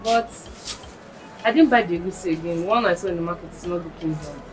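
An actress playing a devoted young Nigerian granddaughter, earnest and respectful. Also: female voice, on the low side, moderate pace, darkish tone, faint music behind, lightly acted. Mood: tender.

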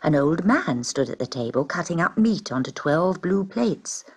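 A woman reading a children's story aloud in an expressive, dramatic way. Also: low voice, moderate pace, creaky, slightly rough.

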